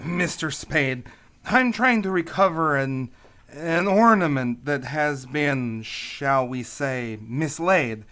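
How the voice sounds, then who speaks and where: An actor playing a small, courteous but dangerous Greek schemer in an old-time radio play, speaking in formal, hesitant, precise phrases. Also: male voice, fairly high pitch, takes it slowly, fairly bright tone.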